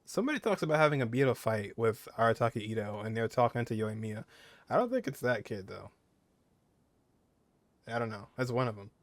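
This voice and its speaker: A male streamer with an average-pitched voice talks through a game. He is informal and conversational, musing to himself in loose, offhand remarks. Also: expressive, brisk, dry recording. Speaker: gaming streamer. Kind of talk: casual let's-play commentary.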